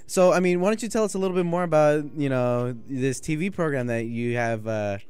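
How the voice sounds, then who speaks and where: A man's voice: a little high, fairly quick, darkish tone, clean tone, no room sound. A man co-hosting a radio show, asking questions in a casual chatty style. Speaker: radio co-host. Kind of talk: casual interview chat. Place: radio station studio.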